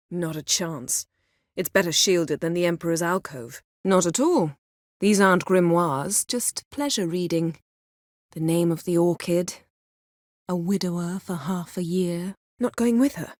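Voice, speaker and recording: A woman playing a proud, sardonic, commanding sorceress in a video game, speaking in dry, measured, formal phrases. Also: fairly low pitch, dry recording, close to an everyday voice.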